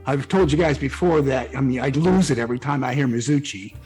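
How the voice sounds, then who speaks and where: A man's voice: mid-range voice, fairly quick, darkish tone. A man speaking as a guest author in a casual, colloquial chat, often checking in with his listeners. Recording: background music under the voice.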